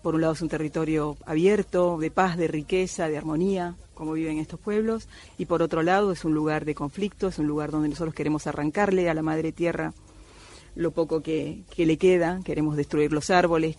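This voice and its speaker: A woman's voice: sits low, a little mellow. A middle-aged woman from Cuba, an anthropologist, speaking thoughtfully with many hesitations in a radio interview. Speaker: anthropologist guest.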